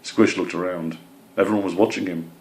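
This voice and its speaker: A man's voice: sits low, fairly even intonation, fairly quick. A man narrating a children's storybook as its reader.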